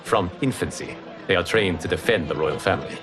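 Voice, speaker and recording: A man playing a calm, wise, solemn mentor in a video game, explaining things in measured, formal speech. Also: low-pitched, over music, lightly acted. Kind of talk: dramatic video game acting.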